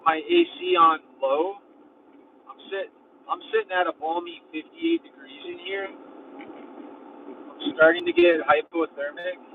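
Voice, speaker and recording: A young man rambles through a road-trip vlog from behind the wheel of his van, his voice high and bouncing all over. He sits away from the mic, so he sounds thin and phone-like, with faint road noise underneath. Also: far from the mic. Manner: rambling, heavy use of "like". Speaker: vlogger. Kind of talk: casual road-trip vlogging. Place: inside a van while driving. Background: faint road and engine noise.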